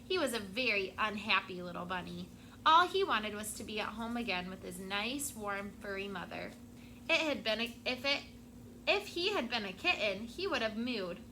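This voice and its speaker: A woman teacher reading a picture book aloud to her class, expressive and stopping to prompt the children. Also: mid-range voice, moderate pace, slight background noise, low-quality recording.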